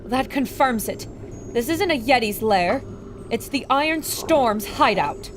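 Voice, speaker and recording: A woman's voice: on the high side, lively intonation, a little slow. A woman playing a plucky radio reporter on an expedition, earnest and theatrical in an old-time radio adventure. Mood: grave reporting. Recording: loud storm and ambient noise.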